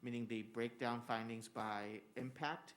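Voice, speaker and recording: A man who is a school district administrator, giving a formal report to a school board in an earnest, steady way with many filler words. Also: medium pitch, measured pace. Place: public school board meeting room.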